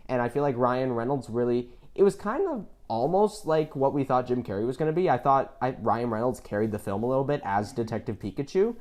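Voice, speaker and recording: A man's voice: medium pitch, animated, brisk, few pauses, a little mellow. A man co-hosting an enthusiastic, casual discussion about films, opinionated and stressing his points with repetition. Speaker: podcast co-host.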